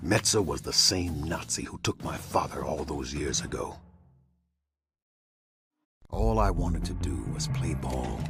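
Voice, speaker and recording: An actor playing a defiant, brooding American ex-athlete hero who tells his story of revenge in terse, gritty game narration. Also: full character voice. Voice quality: very deep voice. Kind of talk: noir-like game cutscene narration and dialogue. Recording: loud music under the voice.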